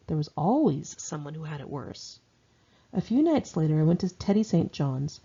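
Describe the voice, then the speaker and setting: A woman's voice: fairly low pitch, dark tone, breathy edge, muffled recording. An American woman reads her own memoir in a conversational, candid, wry and slangy way. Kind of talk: conversational first-person memoir narration.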